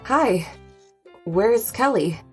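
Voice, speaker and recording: An actress playing a practical, wry wife and hostess in an audio drama, matter-of-fact and conversational. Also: female voice, on the high side, expressive, drawn-out words, darkish tone, husky, soft background music, full character voice. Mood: polite, guarded.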